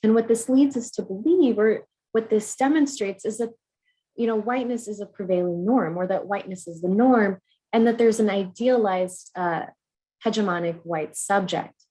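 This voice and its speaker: A woman from the United States giving an academic conference talk, measured and earnest, with frequent ums and uhs. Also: medium pitch, warm tone, husky, rough recording. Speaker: conference speaker.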